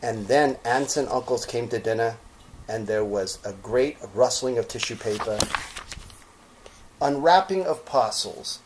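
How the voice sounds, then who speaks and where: A man reads a children's story aloud with expression. His voice is mid-pitched and his delivery very slow, with deliberate, dramatic phrasing as the storyteller. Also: slight background noise, poor audio.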